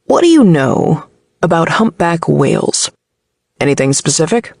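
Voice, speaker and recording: Woman voicing an impish male podcast host, creaky. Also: low-pitched, sing-song, unhurried, darkish tone, no room sound, clean studio sound, heavily acted. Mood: playful. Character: impish bearded male podcast host, teasing best friend.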